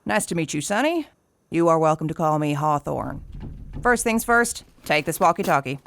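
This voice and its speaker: A woman playing a gruff, folksy older park warden who gives orders in colloquial style, in an audio drama. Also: deep voice, big pitch swings, normal speed, a little hiss or hum, heavily acted. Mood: brisk, in charge.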